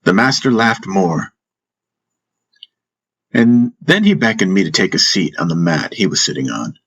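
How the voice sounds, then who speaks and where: A man's voice: low voice, very animated, unhurried, a bit bright. A man narrating a scripted fantasy audiobook, with music playing behind his voice. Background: background music.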